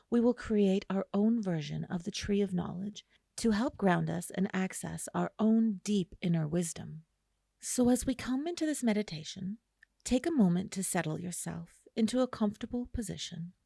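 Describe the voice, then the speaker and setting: A woman guiding a listener through an imagery meditation in a slow, soothing way, with long pauses. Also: medium pitch, vocal fry, dark tone, no room sound, clean studio sound. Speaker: meditation guide. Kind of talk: guided imagery meditation narration.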